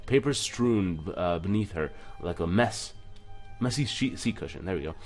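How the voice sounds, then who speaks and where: A man with a low voice plays through a game as host. He reads the narration aloud and slips into casual, joking commentary, with music playing behind him. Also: expressive, normal speed, a little mellow. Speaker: let's play host.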